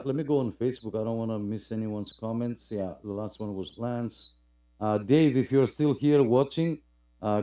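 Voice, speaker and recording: A man hosting a radio club live stream in casual, halting, unscripted chat, often repeating his phrases. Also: lowish voice, mellow.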